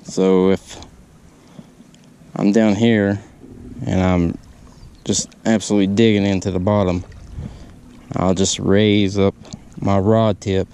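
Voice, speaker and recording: A man giving casual fishing tips from a lakeshore, talking in halting bursts with lots of "uh" fillers, on a body-mounted camera. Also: low-pitched, level tone, mellow, slight background noise. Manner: halting, many "uh" fillers, laid-back. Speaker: fishing vlogger. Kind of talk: casual how-to narration while fishing. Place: outdoors on a lakeshore. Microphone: body-mounted action camera.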